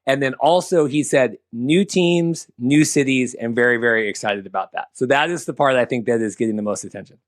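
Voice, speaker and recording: A man co-hosts a casual sports show in an average-pitched voice. He talks in a loose, rambling, conversational way, as in friendly banter. The sound is dry, with hardly any room on it. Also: a little fast, few pauses, no echo. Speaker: sports podcast host.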